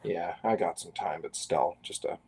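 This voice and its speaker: A man's voice: sits low, moderate pace, gravelly, darkish tone, a little hiss or hum. A man in his forties from Canada, chatting casually and rambling with self-deprecating asides while he draws on a live stream. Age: 40s. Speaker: artist live-streaming a drawing.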